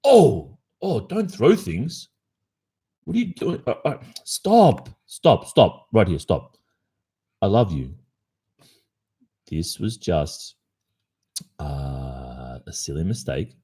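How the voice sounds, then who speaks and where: A man's voice: a bass voice, warm tone, full character voice. An Australian man playing a panicked, apologetic cheating boyfriend in an ASMR roleplay, stammering and imploring. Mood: panicked, pleading.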